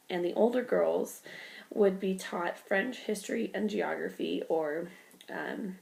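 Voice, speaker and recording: Creaky-voiced young woman with a cold. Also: lowish voice, darkish tone, rough recording. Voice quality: gravelly creak. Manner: informal, with many ums and likes. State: congested with a cold. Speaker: student presenter. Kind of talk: class presentation.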